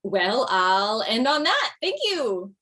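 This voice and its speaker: A woman's voice: a little high, very animated, slow, sharp tone, exaggerated voice. A woman playing a self-deprecating mother returning to stand-up, delivering wry and hesitant lines in a table read. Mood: wry, candid. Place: video call from home.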